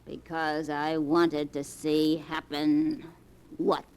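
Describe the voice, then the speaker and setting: An elderly grandmother in a 1940s radio drama. She speaks slowly and deliberately, with sardonic, knowing menace and cool mockery, savouring her schemes. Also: deep voice, completely flat delivery, darkish tone, clean tone. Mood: cool, accusing. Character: elderly scheming grandmother, coolly mocking, vengeful.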